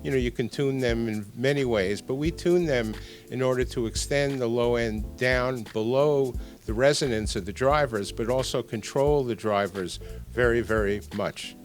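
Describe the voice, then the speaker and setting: A man's voice: medium pitch, normal speed, soft background music. Older man explaining technical details. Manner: explanatory, repeats words for emphasis. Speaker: speaker designer being interviewed. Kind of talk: technical product interview.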